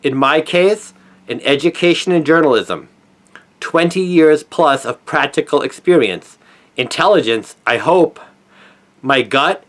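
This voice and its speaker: A middle-aged man speaks in a slightly high-pitched voice. He delivers a prepared commentary in an earnest way. His phrasing is deliberate, with firm emphasis on key words. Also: expressive, fairly bright tone. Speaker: conspiracy commentator, former newspaper journalist. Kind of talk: scripted opinion monologue.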